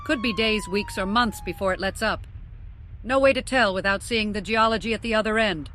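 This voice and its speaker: A woman's voice: mid-range voice, big pitch swings, a little fast, fairly bright tone, slight background noise. A woman playing an assertive, unflappable volcanologist, speaking in a matter-of-fact, self-assured way for an audiobook. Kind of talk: audiobook character dialogue.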